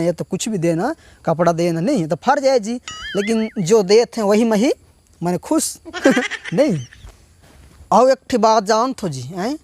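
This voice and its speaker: A high-voiced man with a Chhattisgarhi accent, his throat a little hoarse. He jokes to his viewers in a chatty stand-up style and breaks into laughter between lines. A faint hiss of noise sits behind him. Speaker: stand-up comedian and channel host. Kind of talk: casual stand-up comedy monologue.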